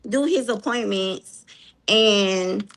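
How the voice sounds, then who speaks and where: A woman's voice: mid-range voice, extremely slow, fairly bright tone, poor audio. A woman from Mississippi with a Southern accent, telling a story emphatically on a livestream panel, repeating lines for emphasis.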